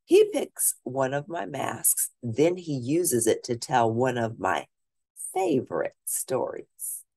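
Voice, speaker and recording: A woman teacher reading a children's picture book aloud at a deliberate pace, pausing to ask her listeners questions. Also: extremely low pitch, wildly swooping pitch, warm tone, no echo.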